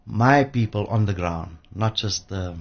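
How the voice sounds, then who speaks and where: A man speaking as an expert radio guest, emphatic and repeating phrases for effect, with a husky voice. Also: fairly low pitch, a little slow. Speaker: interview guest, pensions industry expert. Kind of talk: radio interview. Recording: dull lo-fi radio audio.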